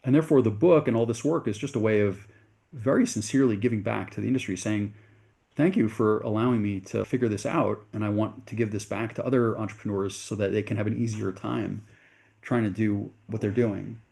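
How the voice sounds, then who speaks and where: A middle-aged man from the United States speaks as an interview guest, with a dark, mellow tone and a gravelly texture. He tells his story earnestly and at length, often correcting himself partway through a sentence. His voice sits fairly low. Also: steady tone, a little fast. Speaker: venture capitalist guest.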